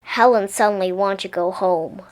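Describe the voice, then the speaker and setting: A woman reading a children's picture book aloud as an expressive narrator. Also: medium pitch, normal speed, low-quality recording. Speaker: storybook reader.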